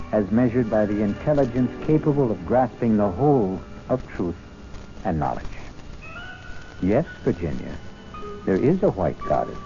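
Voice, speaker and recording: A man hosting an old-time radio drama with measured, portentous, theatrical scripted narration. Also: on the low side. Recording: muffled old radio broadcast with music. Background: music.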